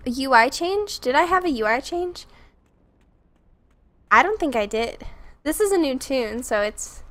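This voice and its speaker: A woman's voice: sits high, animated, unhurried, fairly bright tone. A young woman streaming a game, chatting casually and rambling to chat while congested and stuffy from a cold.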